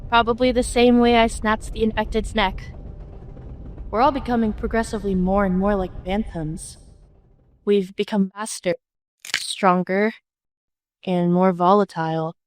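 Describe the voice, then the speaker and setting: A woman's voice: mid-range voice, steady tone, measured pace, long pauses, a little hiss or hum, lightly acted. A young woman voicing a worried, take-charge leader of a group on the run in a webtoon fan dub. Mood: grim, focused.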